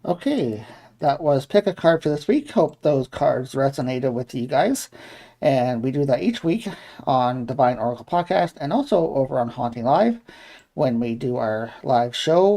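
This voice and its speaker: A man's voice: medium pitch, dark tone. A man co-hosting a casual live show, introducing segments and questions from listeners. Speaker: co-host handling chat and breaks.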